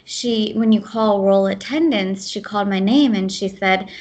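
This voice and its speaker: A woman's voice: medium pitch, darkish tone. Young woman answering interview questions. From United States (Ohio). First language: Gujarati. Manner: fast, with fillers and restarts. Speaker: guest.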